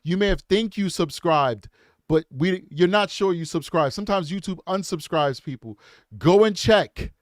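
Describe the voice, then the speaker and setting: A man hosting a true crime livestream, reading super chats aloud and thanking viewers in an upbeat, repetitive way with lots of ums. His voice is hoarse and worn out. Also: high voice, normal speed, dry recording, studio quality. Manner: upbeat, repeats himself, frequent ums.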